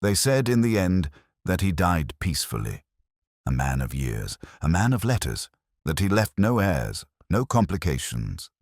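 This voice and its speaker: A man playing a melancholy, reflective police inspector, narrating an audio drama in slow, measured, solemn literary prose with deliberate pauses. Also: rumbling low, breathy edge, dry recording, studio quality, full character voice. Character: melancholy, reflective male police detective inspector telling his story.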